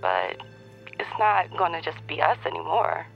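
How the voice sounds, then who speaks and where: A woman's voice: low voice, very animated, moderate pace, creaky, faint music behind, exaggerated voice. A woman playing a wry, loving pregnant wife, teasing drily over the phone in an intimate audio drama. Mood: gentle concern. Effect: phone call filter. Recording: dull, lo-fi phone call.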